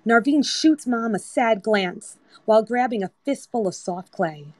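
A woman's voice: fairly high pitch, lively intonation, measured pace, dry recording. A woman reading scripted screenplay narration as the narrator of a table read. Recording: online group voice-chat app.

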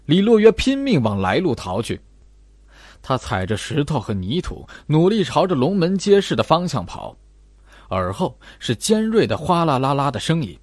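Husky male horror audiobook narrator, very expressive. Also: fairly high pitch, normal speed, dry recording. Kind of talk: suspenseful scripted narration of a horror novel.

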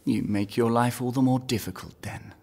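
An actor playing a smug, mocking medieval lord in an audio drama, suave and falsely courteous. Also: male voice, mid-range voice, normal speed, gravelly, a little mellow, long reverb tail. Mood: smug courtesy. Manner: suave, mock-courteous, taunting.

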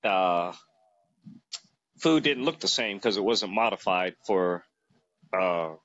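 Guy in his 50s talking into his phone, low voice, rambling, lots of uh and you know, muffled sound. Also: lively intonation, slow, a bit bright. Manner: rambling, many fillers and restarts. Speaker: guest. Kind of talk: casual interview chat. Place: indoors, small room. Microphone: phone camera close to face.

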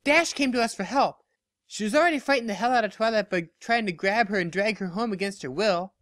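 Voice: mid-range voice, brisk, fairly bright tone, no echo, studio quality, voice pushed up, lightly acted. A narrator voicing a loyal, protective female pony in a story, earnest and firm. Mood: protesting.

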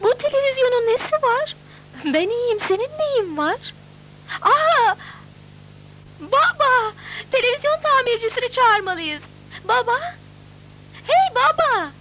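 A woman's voice: very high voice, animated, unhurried, long pauses, sharp tone, exaggerated voice. A woman voicing an indignant, headstrong young girl heroine in a noisy old cartoon dub. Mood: puzzled, annoyed. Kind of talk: dubbed cartoon acting.

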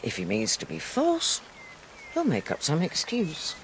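A woman's voice: low-pitched, wildly swooping pitch, measured pace, a little hiss or hum, full character voice. An actress playing a shrewd, blunt, commanding older queen in a historical radio drama. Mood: urgent counsel. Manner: bawdy, imperious, urgent.

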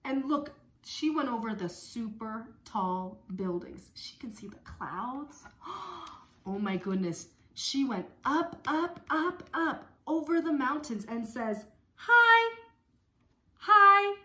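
Female children's educator, thirties: bright, fairly high voice, expressive, simple repetitive phrasing for story time at home. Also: slow, roomy sound. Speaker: speech-language pathologist leading circle time. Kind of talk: children's songs and read-aloud lesson. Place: small room at home.

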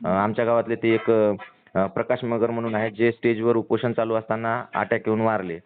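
A man's voice: fairly low pitch, flat delivery, moderate pace, a little hiss or hum. A man with a Marathwada Marathi accent, speaking earnestly and insistently on a phone call, pleading and explaining his point. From rural Maharashtra, India. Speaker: community activist calling a politician. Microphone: phone line. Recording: tinny narrowband phone call recording.

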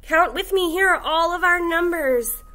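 A young woman teaching kindergarten, reading aloud to small children in an animated, encouraging way with exaggerated delivery. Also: very high voice, moderate pace. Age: young adult. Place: indoors, classroom.

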